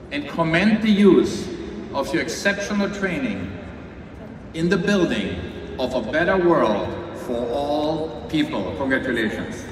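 A man presiding as university chancellor, giving a slow, measured, ceremonial commencement address. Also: on the high side. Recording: echoey hall from far off. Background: ambient crowd noise.

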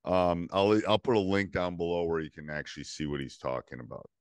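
A middle-aged man hosts a coaching podcast in a home studio, speaking into a studio microphone. His voice sits very low and sounds dry and close. He cuts in often with eager affirmations. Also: animated, fairly quick, smooth, no echo. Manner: enthusiastic, affirming interjections. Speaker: podcast host. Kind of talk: casual coaching interview. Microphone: studio microphone with headphones.

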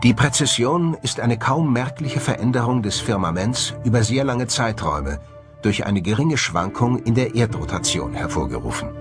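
Animated male documentary narrator over music. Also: mid-range voice, normal speed. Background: music under the voice.

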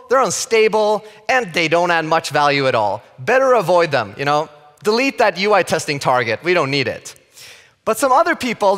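A man in his thirties from Sweden with a Swedish accent, giving a playful, lively tech conference talk on a large stage. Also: high voice. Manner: lively and playful, acts out quoted opinions. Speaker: conference speaker. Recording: reverberant hall.

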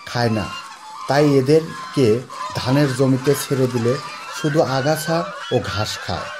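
A young man narrating and interviewing in a measured, informative way, speaking into a handheld mic outdoors at a goose farm. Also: medium pitch, background noise. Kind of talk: read narration and field interview. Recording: outdoor voice with music bed.

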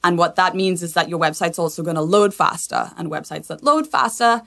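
A woman's voice: fairly low pitch, a little fast, creaky, a bit bright. A woman from Trinidad and Tobago explaining things conversationally as an expert interview guest. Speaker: guest, sustainability consultant.

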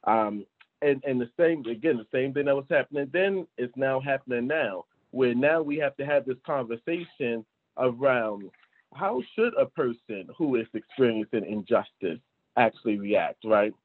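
A man in his thirties with a husky voice talks earnestly on an online panel. He often checks his points with a tag question and poses rhetorical questions. The sound is narrow and phone-like, from a video call. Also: mid-range voice, lively intonation, normal speed. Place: small indoor room, at a desk. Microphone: video call computer microphone.

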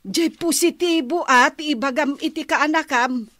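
An exasperated Ilocano wife in a radio comedy drama. She scolds in a higher-than-usual voice, slowly and deliberately. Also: a bit bright, no room sound. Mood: reproachful.

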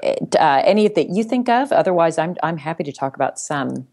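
Low-pitched woman chatting. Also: lively intonation, normal speed, darkish tone. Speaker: podcast guest, sales executive. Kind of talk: casual conversation.

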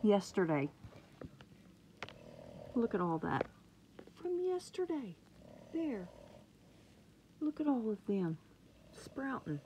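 A woman chatting excitedly about her seedlings, sometimes dropping to a murmur, recorded on a handheld phone indoors. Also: medium pitch, takes it slowly, pauses often, a little hiss or hum, low-quality recording. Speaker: gardening vlogger. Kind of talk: casual home gardening vlog. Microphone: phone camera held close.

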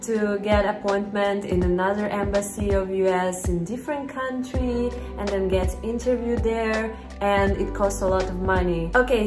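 Casual vlog read: young adult female, Russian accent, chatty to camera, echoey bedroom recording with background music. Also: mid-range voice. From Russia, Vladivostok. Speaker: vlogger. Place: bedroom, indoors. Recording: roomy echo, music underneath.

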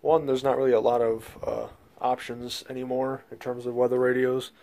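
An American man with a slightly gravelly voice giving a casual, halting, rambling video tour indoors on a handheld phone. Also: medium pitch, flat delivery, mellow. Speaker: host, hobbyist collector. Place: small bedroom, indoors. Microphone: handheld phone, speaker behind the camera.

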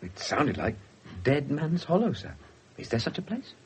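A man playing an uneasy, deferential police constable in a radio drama, husky and hushed. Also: a little high, very animated, moderate pace, heavily acted. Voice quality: very husky, breathy. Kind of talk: 1970s radio drama acting.

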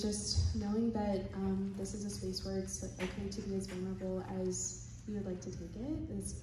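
A woman moderating a panel discussion in a conference room, speaking gently and stuttering at times. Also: mid-range voice, background noise. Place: hotel conference room with audience. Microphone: handheld mic, recorded from far in the room. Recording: echoey conference hall from far off.

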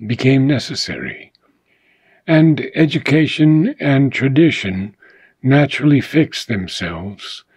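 A man narrating a philosophical audiobook in a solemn, measured manner, with frequent deliberate pauses between phrases. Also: medium pitch, darkish tone, husky, no echo. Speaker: reader of a philosophical book.

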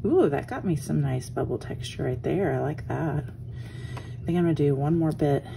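A woman with a hoarse morning voice, chatting casually as she walks through a craft tutorial at her desk, often trailing off into soft murmurs. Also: low-pitched, animated, normal speed, background noise. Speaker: art journaling instructor. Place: home craft desk, indoors.

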